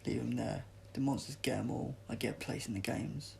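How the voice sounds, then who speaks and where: A man reads a stroppy young woman athlete in a storybook adventure. She sounds suspicious and grumpy, with a sneering edge and a gravelly creak. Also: mid-range voice, a little fast, a little hiss or hum. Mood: callous.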